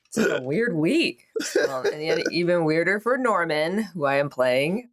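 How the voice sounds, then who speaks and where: A woman's voice: on the low side, animated, unhurried. A woman playing a tabletop game, chatting informally with playful exasperation. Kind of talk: casual banter.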